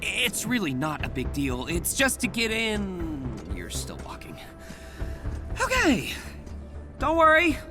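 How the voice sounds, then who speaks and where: A man's voice: sits high, wildly swooping pitch, slow, full character voice. An American man playing an upbeat, wisecracking, nervy young superhero in a video game, chatty and rambling. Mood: joking, awkward. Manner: chatty, rambling, self-correcting, jokey. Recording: game music behind the voice.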